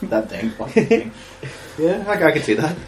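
A man co-hosting a crude comedy podcast, firing back quick joking replies and laughing. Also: on the high side, big pitch swings, over music.

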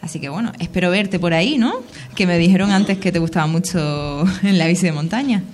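A woman's voice: on the low side, fairly quick. A woman of thirty-one with a Canarian Spanish accent, answering informally in a radio interview, often repeating words as she searches for phrasing. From Lanzarote, Canary Islands, Spain. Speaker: local politician, youth councillor being interviewed.

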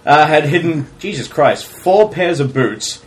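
A man co-hosting a crude comedy podcast, reading out news stories and laughing loudly, often jumping into silly high-pitched voices. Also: lively intonation, a little slow, poor audio.

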